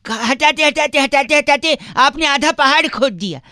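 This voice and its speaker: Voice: high-pitched, normal speed, sharp tone, no room sound, clean studio sound. A radio comedy host making prank phone calls, telling rambling mock-earnest stories with great persistence. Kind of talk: comic prank phone call patter.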